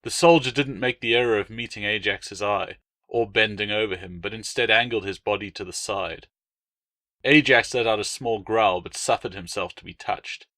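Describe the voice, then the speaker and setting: A man's voice: on the low side, moderate pace, sharp tone, dry recording. A man reading scripted audiobook narration as a storyteller. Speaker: author reading own novel as narrator.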